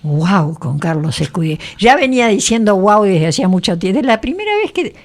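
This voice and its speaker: Gravelly older woman from Buenos Aires. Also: mid-range voice, sing-song, normal speed. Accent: Buenos Aires Spanish. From Argentina. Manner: teasing, talks over guest. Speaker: radio host. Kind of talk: casual radio conversation.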